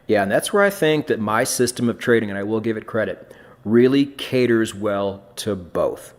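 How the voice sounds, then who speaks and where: A man hosts a podcast in a casual, blunt way, leaning hard on his points and swearing without a second thought. His voice sits a little on the low side. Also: expressive, normal speed. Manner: blunt, profane, emphatic. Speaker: podcast host.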